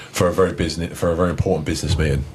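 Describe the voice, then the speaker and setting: A man's voice: deep voice, steady tone, a little hiss or hum. A young man from England talking casually as a podcast co-host, rambling and swearing freely. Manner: rapid rambling talk with swearing. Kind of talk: casual banter.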